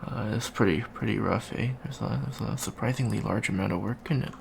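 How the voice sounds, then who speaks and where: Gravelly, awkward young alien student voiced by a man. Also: lowish voice, a little fast, background noise. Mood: awkward, chatty. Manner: hesitant, stammering, awkward small talk. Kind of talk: improvised ASMR roleplay, casual chat.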